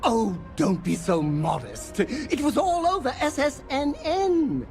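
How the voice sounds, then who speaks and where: Wildly animated, gushing superfan. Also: female voice, high voice, full character voice. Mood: starstruck gushing. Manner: theatrical, breathless adoration, swooping from shouts to drawn-out awe. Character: gushing, obsessive female superfan of a space hero. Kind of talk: video game cutscene acting. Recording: music behind the voice.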